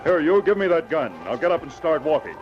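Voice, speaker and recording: An upright frontier lawman from a vintage radio adventure, played by a man, firm and commanding. His voice sits a little high for a man, and the old recording sounds dull. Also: lively intonation, fairly quick, soft background music, close to an everyday voice. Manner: firm, clipped, authoritative. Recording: muffled old radio transcription.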